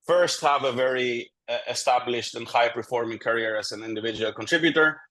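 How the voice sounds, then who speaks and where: Measured, candid man in a business interview. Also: mid-range voice, fairly bright tone. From Greece. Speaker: startup co-founder and consultant, interview guest.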